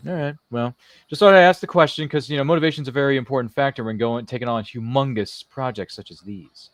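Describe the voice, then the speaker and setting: A man with a slightly low-pitched voice hosts a casual interview show. He rambles along chattily, dropping in quotes and small impressions. The sound is dry, with very little room in it. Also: fast, no room sound. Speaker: show host.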